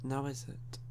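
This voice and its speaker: A man playing a suave, sly, hungry male vampire in an ASMR audio roleplay, speaking in a teasing, drawn-out manner. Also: medium pitch, background noise. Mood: polite, sly. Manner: slow, drawn-out, teasing, with breathy chuckles.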